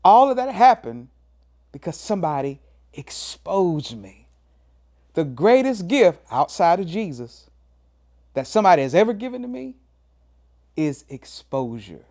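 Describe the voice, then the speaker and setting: A man's voice: big pitch swings, measured pace, long pauses, mellow. A man of fifty, a pastor hosting his own motivational talk, emphatic and preacherly. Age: 50s. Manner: preacherly, emphatic, swings pitch up and down for emphasis, says "man" often. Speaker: pastor and show host.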